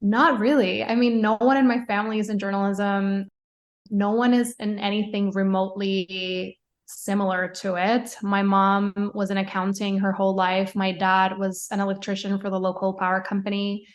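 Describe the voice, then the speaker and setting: A woman's voice: medium pitch, little pitch movement, moderate pace. A young woman from Puerto Rico answering interview questions in a chatty way, with frequent fillers, restarts and light laughs. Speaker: podcast guest, fashion writer.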